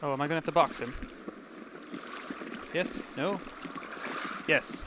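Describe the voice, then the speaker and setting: A man's voice: fairly high pitch, unhurried. A man from Canada giving casual let's play commentary as host of a gaming channel. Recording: clear game water noise behind voice. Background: video game sound.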